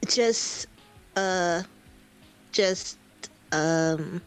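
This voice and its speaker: A woman's voice: on the low side, drawn-out words, clean tone, faint music behind, rough recording. A woman chatting casually as a guest on a livestream, giggly and self-deprecating. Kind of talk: casual chat.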